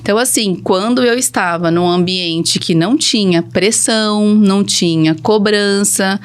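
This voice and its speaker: A woman's voice: mid-range voice, a little slow, smooth. A woman with a Brazilian accent, a speech therapist speaking as a podcast guest in casual, personal storytelling.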